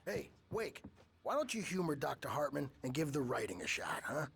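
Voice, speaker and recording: A laid-back orderly, casual and easygoing, in a game. His voice is somewhat high and lively, with clear noise behind. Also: normal speed, heavily acted. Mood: casual, bossy. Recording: game dialogue with background noise.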